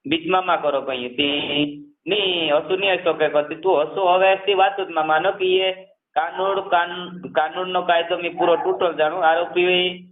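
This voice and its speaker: A man's voice: high voice, steady tone, normal speed. A man in a casual phone conversation, explaining things at length and repeating himself, on a narrowband call recording.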